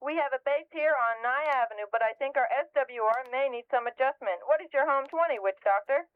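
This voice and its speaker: A woman's voice: high-pitched, a little fast, clear, resonant tone. A woman playing a friendly base-station hobbyist, chatting in CB slang through a radio filter. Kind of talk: scripted CB radio role-play. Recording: tinny band-limited CB radio.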